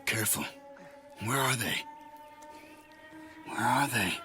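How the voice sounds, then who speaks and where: A man's voice: medium pitch, takes it slowly, sharp tone. A man playing a protective, caring Western rider in a video game cutscene, talking in a careful, soothing way. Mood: wary. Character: protective, caring male Western rider helping his uncle. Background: music.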